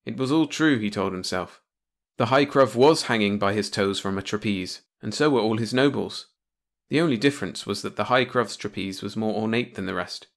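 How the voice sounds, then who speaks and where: A man reading a scripted short story as an audiobook narrator. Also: on the low side.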